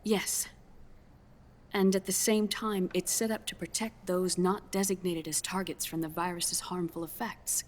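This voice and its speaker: A woman playing a grave scientist in a video game cutscene, explaining grim findings in a measured, solemn way. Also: lowish voice, flat delivery, a little hiss or hum, close to an everyday voice. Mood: clinical, explanatory. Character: grave female scientist delivering a grim diagnosis.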